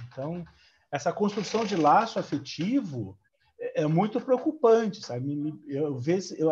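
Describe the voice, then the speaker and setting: A man's voice: sits high, warm tone, dull audio. A man in a casual video-call panel, talking loosely and repetitively, often ending his points with tag questions. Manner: loose, repetitive, ends lines with "sabe?" and "tá entendendo?". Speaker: psychologist guest on a live panel.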